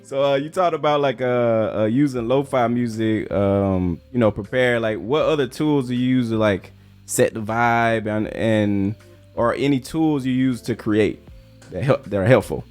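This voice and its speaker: Male interviewer, mid pitch, informal halting delivery, relaxed chat style, instrumental music underneath. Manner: informal, halting questions, brief affirmations.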